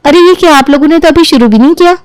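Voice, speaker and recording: Woman voicing a polite young bride, high voice. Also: racing, no room sound, natural voice. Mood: deferential. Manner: polite, deferential. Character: dutiful, polite young bride and daughter-in-law. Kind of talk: acted dialogue in a Hindi family storybook tale.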